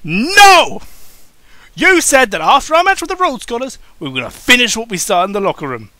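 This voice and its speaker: A man voicing a small, feisty, sarcastic male wrestler, excitable, smug and insistent, in an amateur dramatic reading. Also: very high-pitched, normal speed, voice pushed up, full character voice. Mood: smug, excited.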